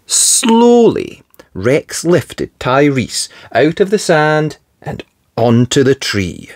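A storybook reader narrating for young children in an expressive, theatrical, emphatic way. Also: mid-range voice, slow, no echo, clean studio sound. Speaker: storybook reader, channel host. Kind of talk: animated children's read-aloud narration.

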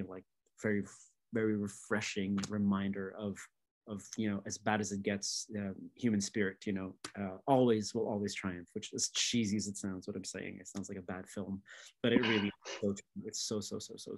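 A man's voice: on the low side, little pitch movement, fairly quick, a little hiss or hum, rough recording. A man, talking thoughtfully on a video call from a home studio and searching for words as he goes. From Lebanon. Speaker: musician in conversation. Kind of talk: casual reflective conversation. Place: home studio room indoors.

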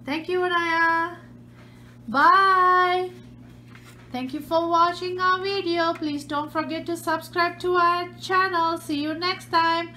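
Woman, high and bright, patient parent playing shop with a small child, filmed at home. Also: fairly even intonation, measured pace, hardly any pauses. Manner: gentle, patient, coaxing. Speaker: parent hosting a kids' channel. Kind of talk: playful pretend-play with a toddler. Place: home living room.

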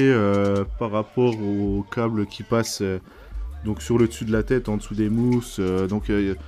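A man's voice: medium pitch, darkish tone. A man giving an informal, hesitant, hands-on product review in casual slangy speech.

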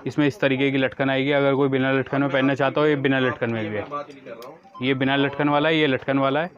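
A man's voice: mid-range voice, flat delivery, fairly quick, lo-fi sound. A man giving a matter-of-fact product showcase as a wholesale shopkeeper, repeating prices and details. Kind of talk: product showcase sales talk. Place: small packed wholesale bangle shop, indoors. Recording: busy shop chatter behind the voice.

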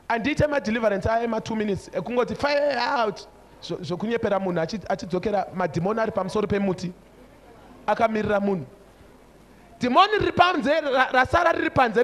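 A man in his forties with a Zimbabwean accent preaching a sermon, emphatic and repeating short phrases. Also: high-pitched. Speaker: preacher, church overseer. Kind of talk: sermon switching between English and another language. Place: large church hall before a congregation. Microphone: handheld microphone.

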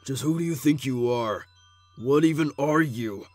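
Guy as a sarcastic tired skeleton, slow casual defiant, cartoon dub, faint music. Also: mid-range voice, darkish tone, no echo, close to an everyday voice. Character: sardonic, weary skeleton older brother, defiant. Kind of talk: comic dub voice acting.

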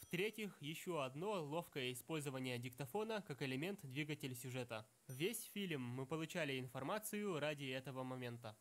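A man's voice: mid-range voice, animated, a little fast, hardly any pauses. A man narrating a scripted film commentary.

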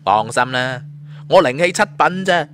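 A man's voice: on the high side, animated, moderate pace, fairly bright tone, slight background noise. A man with a Cantonese accent voicing a confident, cunning young martial-arts hero in a story, taunting and glib. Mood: glib, persuasive. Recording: music under the voice.

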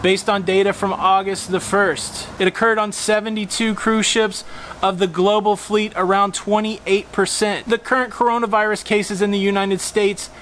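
A man's voice: high-pitched, little pitch movement, normal speed, fairly bright tone, a little hiss or hum, rough recording. A man in his forties hosting a travel vlog, making a casual, argumentative case full of rhetorical questions and punchy emphasis, filmed outdoors on a pool patio. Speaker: host of a cruise travel channel.

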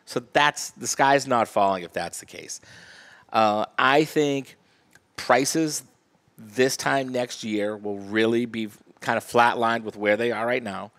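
A man's voice: medium pitch, takes it slowly, fairly bright tone. A man appearing as a real estate guest, giving confident, explanatory interview answers. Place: TV-style studio set.

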